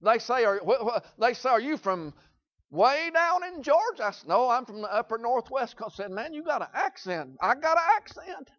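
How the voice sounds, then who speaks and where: A man preaching a sermon in a Southern US accent, folksy and repetitive, swinging between loud shouts and quiet asides. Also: very high-pitched, very animated, normal speed, no echo, dull audio.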